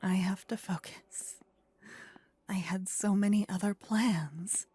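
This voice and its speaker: Woman acting a breathy, whispering demon queen. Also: medium pitch, steady tone, warm tone. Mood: frustrated, scheming. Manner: measured, formal, regal. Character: possessive warlike demon queen, devoted to her champion. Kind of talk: intimate ASMR roleplay acting.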